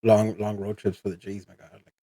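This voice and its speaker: A man's voice: fairly low pitch, little pitch movement, brisk, mellow. Stammering man hosting a podcast. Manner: stammering, repeats words. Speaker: podcast host. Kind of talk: casual chat.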